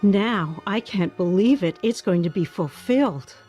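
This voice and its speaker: A woman's voice: medium pitch, expressive, unhurried, warm tone, soft background music, natural voice. An actress playing a prim woman who savours every word, in a radio play. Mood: awed. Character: prim, slow-spoken woman, savouring her small triumphs.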